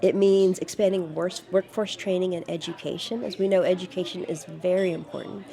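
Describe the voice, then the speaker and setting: Earnest woman candidate, measured speech. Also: fairly low pitch, steady tone, creaky, dark tone. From United States, grew up in Georgia. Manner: earnest, frequent fillers and restarts. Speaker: city council candidate. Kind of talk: interview answers.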